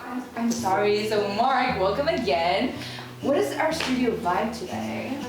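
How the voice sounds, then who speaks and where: Bubbly woman host, high lively voice, sounds far off in a very echoey room. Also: unhurried, over music. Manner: bubbly, playful, cheers and exclaims. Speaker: podcast host. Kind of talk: casual podcast chat.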